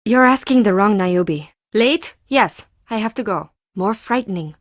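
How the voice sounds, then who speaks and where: A woman's voice: mid-range voice, lively intonation, unhurried, no room sound, studio quality, natural voice. An actress playing a timid, defensive woman artist and forger in a video game, with intense, theatrical delivery in clipped phrases. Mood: nervous, weary. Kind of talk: scripted video game character dialogue.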